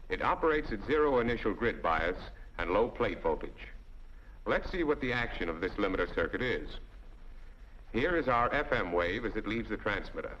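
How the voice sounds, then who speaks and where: A man's voice: on the low side, fairly bright tone, slight background noise. American man narrating, dull vintage film sound. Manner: didactic, methodical, step-by-step explaining. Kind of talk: scripted instructional narration.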